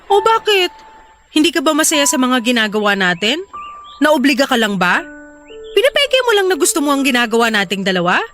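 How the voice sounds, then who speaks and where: A woman's voice: high-pitched, big pitch swings, brisk, over music. An actress with a Filipino accent playing an insistent wife who pleads with and coaxes her reluctant husband in a radio drama. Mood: hurt, annoyed.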